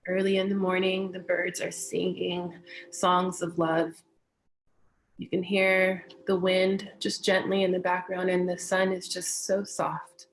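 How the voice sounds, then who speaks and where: A woman from California with an American accent, talking gently and unhurriedly as she hosts a guided meditation session and speaks in spiritual phrases. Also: fairly low pitch, monotone, unhurried, slight background noise, low-quality recording. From California, United States. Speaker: meditation teacher and session host. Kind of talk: welcoming introduction to a guided meditation, personal talk.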